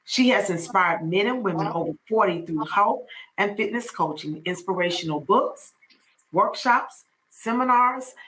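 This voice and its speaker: Woman in her late fifties hosting a podcast, super bright crisp voice, gushy and playful. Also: lowish voice, a little hiss or hum, rough recording. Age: 50s. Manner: effusive and playful, calls the guest "girl". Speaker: podcast host. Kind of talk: reading a guest introduction, then casual chat.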